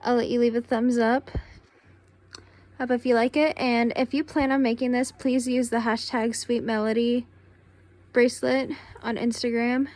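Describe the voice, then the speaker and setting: A young woman with a tired, raspy voice, casually talking through a craft tutorial step by step while she works. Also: a little high. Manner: relaxed, talks while working with hands.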